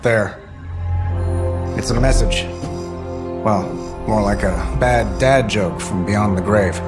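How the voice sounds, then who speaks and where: A man's voice: on the low side, takes it slowly. A man playing a cocky genius inventor in a video game cutscene, sardonic and flippant over music. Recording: loud music behind the voice.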